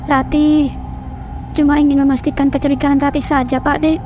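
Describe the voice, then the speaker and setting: A woman's voice: high voice, flat delivery, moderate pace, noisy background, close to an everyday voice. A woman voicing a worried, polite young wife in a horror cartoon. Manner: anxious, polite, questioning.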